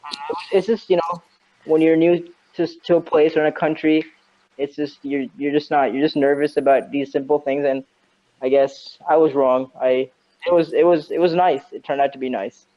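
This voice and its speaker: A man's voice: fairly high pitch, little pitch movement, moderate pace. A young man from Saudi Arabia chatting casually on a podcast, rambling and hesitant with lots of fillers and some dry teasing. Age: young adult. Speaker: podcast co-host. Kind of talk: casual chat. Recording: dull lo-fi sound.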